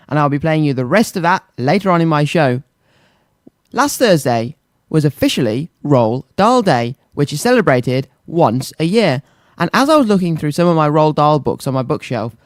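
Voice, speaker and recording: A man's voice: fairly high pitch, no echo. Dry, close-miked male radio presenter. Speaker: children's radio show host.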